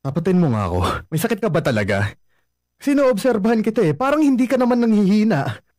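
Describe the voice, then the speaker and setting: A man's voice: high voice, sing-song, quick pace, a little mellow, no echo, studio quality. A man playing a jealous pleading young boyfriend. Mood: suspicious. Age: young adult. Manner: pleading, jealous, voice rises when upset. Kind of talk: Filipino radio drama acting. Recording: music bed under the voice.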